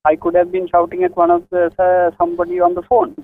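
A man's voice: high-pitched, monotone, fast. A man talking hesitantly and politely on a phone, repeating himself as he explains. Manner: hesitant, repetitive, polite protesting. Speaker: prank call victim. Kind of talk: phone conversation. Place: office. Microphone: telephone. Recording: tinny narrow phone line.

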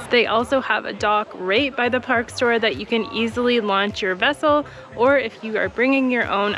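A young woman vlogger talking to a handheld camera outdoors in a wooded park, giving a clear, practical tour of a campground with friendly tips. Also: a little high, a bit bright. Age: young adult. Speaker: travel vlogger touring a campground. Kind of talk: informative park tour narration. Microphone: camera held at arm's length.

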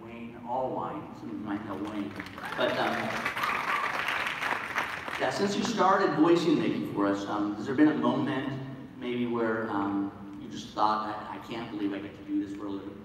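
A man's voice: lowish voice, normal speed. A man hosting a stage panel, introducing and questioning guests in an informal, stop-start way. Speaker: panel host. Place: large convention hall stage, audience recording from afar. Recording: very echoey distant hall, crowd noise.

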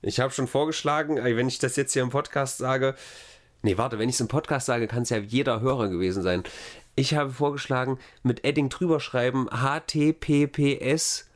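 A man's voice: mid-range voice, normal speed. Sardonic man chatting on a podcast. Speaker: podcast host. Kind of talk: casual podcast chat.

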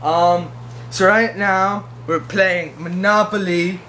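A man's voice: high voice, fairly even intonation, slow, pushed and bright, a little hiss or hum. A teenage boy hosting a casual vlog from home, joking and rambling with friends. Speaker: vlog host. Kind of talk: casual chat.

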